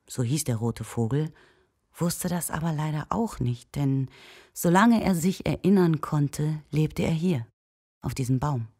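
A woman with a low, husky voice narrating a scripted children's audio play as storyteller. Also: dark tone.

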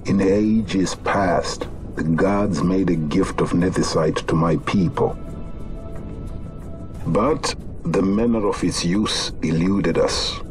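An actor playing a solemn, aged chief of a horned beast tribe in a video game, with grave, archaic, oracular phrasing. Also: male voice, low-pitched. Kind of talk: dramatic video game voice acting.

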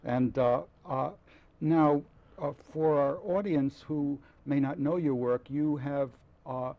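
A man's voice: on the high side, fairly even intonation, phone-quality sound. An older man hosting a studio interview, hesitant, with frequent fillers and restarts. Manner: halting, many fillers and restarts, admiring. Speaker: TV interview host. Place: dark TV studio set. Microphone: lapel mic.

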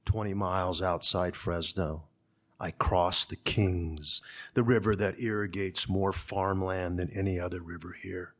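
A man in his sixties from California's Central Valley, an author speaking and reading to the public with measured, deliberate phrasing. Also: low voice, steady tone, a bit bright. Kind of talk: public talk and literary reading. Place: conference room. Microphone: gooseneck podium microphone.

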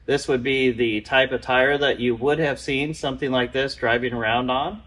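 A man in his thirties narrates a restoration job in a roomy indoor workshop. He speaks in an even, methodical way, calm and practical. The sound is a camera mic in a garage. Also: mid-range voice, moderate pace. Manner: calm, methodical, matter-of-fact. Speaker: car restoration video host. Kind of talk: explanatory workshop walkthrough.

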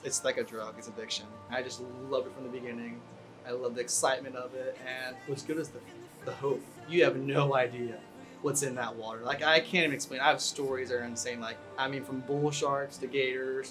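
Young man, laid-back interviewee, flat-toned, guitar bed underneath. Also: medium pitch. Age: young adult. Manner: relaxed, many fillers, gets excited. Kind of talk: casual interview talk. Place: outdoor balcony.

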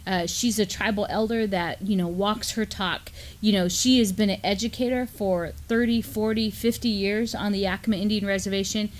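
A middle-aged woman with an American accent co-hosting a casual news discussion, speaking conversationally and a little haltingly. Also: medium pitch, steady tone. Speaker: news show co-host. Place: indoor studio at a table.

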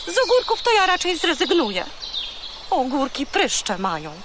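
A woman's voice: sits high, wildly swooping pitch, normal speed, heavy reverb, big-room sound, noisy background. A woman playing a bossy, chatty, boastful seagull guide in a children's audio drama. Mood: cheeky. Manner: brash, chattering, exclamatory.

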